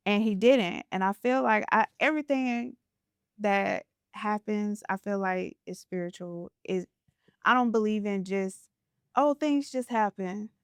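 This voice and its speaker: A woman's voice: medium pitch, unhurried, clear, resonant tone, dry recording, studio quality. A woman podcast host talking in an earnest, reflective way as she shares a spiritual story in a casual interview.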